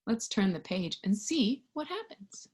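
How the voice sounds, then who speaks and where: A woman reading a picture book aloud to young children in an expressive, child-directed storytime style. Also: mid-range voice, unhurried. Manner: expressive, child-directed, with questions and sound effects.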